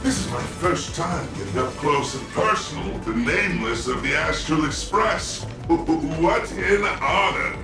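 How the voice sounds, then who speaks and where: A man's voice: a little high, lively intonation, unhurried, few pauses. An actor playing a weary superhero man who jokes at his own expense in a video game scene. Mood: wry. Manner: wry, joking, self-deprecating. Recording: distant, echoey game mix. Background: music.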